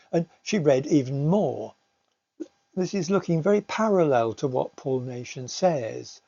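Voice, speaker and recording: A middle-aged man presents slides like a teacher talking through his methods. His delivery is measured and a little hesitant, with a relaxed, mellow tone. The recording is slightly dull and lo-fi. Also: medium pitch, husky. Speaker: teacher giving a talk.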